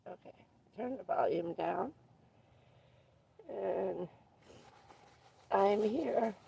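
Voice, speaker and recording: A livestreamer chatting casually with viewers, stuffed up and losing their voice, who keeps clearing their throat. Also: mid-range voice, dark tone, slight background noise. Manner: frequent throat clearing, unhurried, rambling. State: congested and losing voice. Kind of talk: casual live stream chat with viewers.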